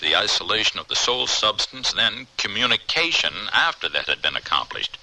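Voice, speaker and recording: An actor playing an earnest, persuasive colleague in a radio drama, urgent and theatrical. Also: male voice, fairly low pitch, very animated, normal speed, gravelly, sharp tone, heavily acted, poor audio. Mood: urgent pleading. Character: earnest, persuasive male colleague of a troubled scientist. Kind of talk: American radio drama acting.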